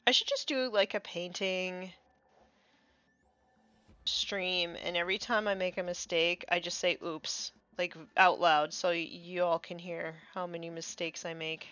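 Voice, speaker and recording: A woman chatting casually on a painting live stream, a little congested from allergies, muttering soft asides as she works. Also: lowish voice, fairly even intonation, measured pace, clear, resonant tone, dry recording. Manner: soft muttering asides, trailing off mid-task. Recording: slightly dull, dry sound.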